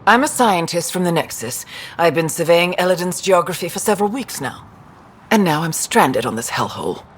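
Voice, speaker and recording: An actress playing a stranded field scientist in a video game, with a wry, expressive delivery. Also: female voice, lowish voice, a little fast, slight background noise, lightly acted. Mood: relieved, sardonic. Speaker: scientist character.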